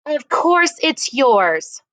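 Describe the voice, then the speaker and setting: A woman's voice: high-pitched, very animated, drawn-out words, fairly bright tone, no echo, exaggerated voice. A woman voicing a stern older female schoolteacher in a storybook, firm and commanding as she addresses a class. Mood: stern, insistent.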